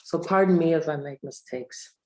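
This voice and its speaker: A low-pitched woman with a German accent, her tone mellow and soft-edged, who starts out a bit halting before settling into a steady reading of her own prose. She is heard through a video call. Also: slight background noise. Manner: hesitant, restarts when speaking freely. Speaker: author giving a reading. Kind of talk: reading aloud from a novel and chatting.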